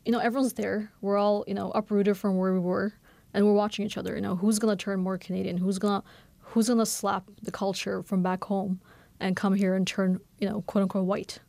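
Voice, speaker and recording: Novelist guest, woman in her thirties, quick, candid, lots of "you know", some vocal fry, dry studio sound. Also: medium pitch, no echo. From Bangladesh. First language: Norwegian. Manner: fast, candid, animated with many fillers. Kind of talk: conversational radio interview.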